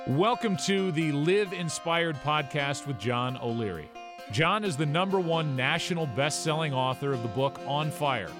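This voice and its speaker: A man's voice: fairly high pitch, expressive, normal speed. A man reading a scripted show introduction with a polished announcer delivery. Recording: intro voice over guitar music.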